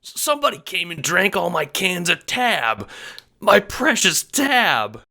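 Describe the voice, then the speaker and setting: Man acting a blustering, gruff exiled ex-lawman. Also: high voice, big pitch swings, unhurried, sharp tone, voice pushed up, exaggerated voice. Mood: anguished. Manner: blustering, blunt, exclamatory. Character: gruff male exiled ex-lawman, touchy lone wolf. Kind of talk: audio drama character acting.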